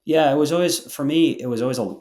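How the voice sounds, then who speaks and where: A man's voice: fairly high pitch, fast talker, darkish tone, reverberant. A young man explaining his views as a podcast guest, often restarting his sentences as he works through a point. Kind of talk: interview answers.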